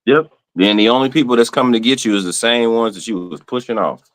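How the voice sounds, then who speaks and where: A man from Texas co-hosting a podcast, talking in a blunt, profane, casual way that gets animated when he is worked up. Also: medium pitch, quick pace, no room sound. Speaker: podcast co-host. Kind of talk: casual chat.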